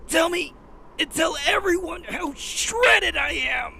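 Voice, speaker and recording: A man playing a brash, cocky showboat with a loud, theatrical, sarcastic delivery in an audio drama. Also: extremely high pitch, lively intonation, slow, creaky, a little hiss or hum, exaggerated voice. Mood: showy, straining.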